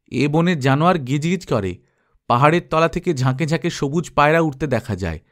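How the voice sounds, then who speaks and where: A man narrating a literary story in a measured, dramatic storytelling manner. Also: mid-range voice. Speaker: story reader. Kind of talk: expressive scripted story narration.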